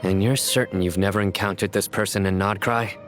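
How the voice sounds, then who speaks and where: A man playing a grave, methodical law enforcer in a video game, formal and deliberate in his speech. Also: on the low side, fairly even intonation, faint music behind, natural voice. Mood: grave, analytical. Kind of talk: scripted video game voice acting.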